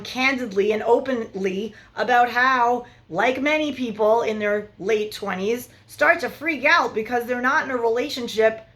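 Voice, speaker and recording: A woman in her forties, a love coach giving frank, animated big-sister advice straight to camera. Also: on the high side. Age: 40s. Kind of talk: direct-to-camera advice vlog.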